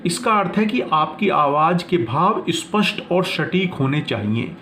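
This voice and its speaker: Higher-pitched man teaching over background noise. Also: big pitch swings, unhurried, sharp tone. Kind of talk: scripted lesson narration with explanations.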